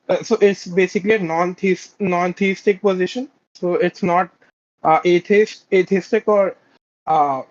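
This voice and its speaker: A man calling in to a debate show, talking hesitantly with many fillers and restarts. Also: high-pitched. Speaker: caller. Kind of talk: casual debate call. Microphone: video call. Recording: quiet, dull lo-fi call mic.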